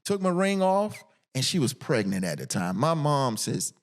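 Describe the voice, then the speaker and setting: A middle-aged American man speaks as a podcast guest, in a fairly high voice with lots of pitch movement. He tells personal stories candidly and emphatically. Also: big pitch swings, normal speed. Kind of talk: casual personal storytelling.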